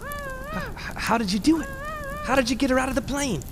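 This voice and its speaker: A high-pitched, jumpy henchman from a vintage radio play, acted by a man. His delivery swoops with desperation and resentment, over clear background noise. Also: wildly swooping pitch, fairly quick, exaggerated voice. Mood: nervous, startled. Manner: jittery, agitated, melodramatic. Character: Ward, nervous, resentful, desperate male henchman. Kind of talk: Golden Age radio drama acting. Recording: noisy radio drama recording.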